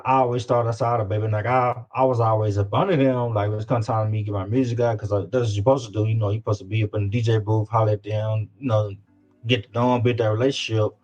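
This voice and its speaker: A man's voice: mid-range voice, fairly even intonation, fast, a little mellow. A man from Louisiana with a Southern US accent, chatting casually as an interview guest, slangy and repeating himself for emphasis. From Louisiana, United States. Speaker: guest, independent music artist. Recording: dull, lo-fi sound.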